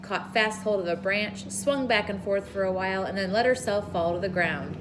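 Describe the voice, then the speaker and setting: A woman reads aloud from a children's book in an informal storytelling style. Her voice sits at an average pitch. A faint layer of noise runs behind the reading. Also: normal speed. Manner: informal, with brief asides to listeners.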